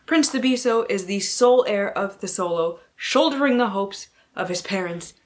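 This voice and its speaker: A woman speaks in a medium-pitched voice, as if chatting to followers on her book channel. Her delivery is wry and theatrical, and she swears freely. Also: normal speed. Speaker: book vlogger, channel host. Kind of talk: casual chat to camera with playful dramatic book-blurb readings.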